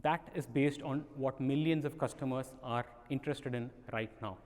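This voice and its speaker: A man's voice: medium pitch, steady tone, normal speed, darkish tone. A man from India with an Indian accent, giving a conference presentation and asking the audience rhetorical questions. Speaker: conference speaker. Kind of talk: presentation talk. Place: large conference hall. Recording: reverberant conference hall.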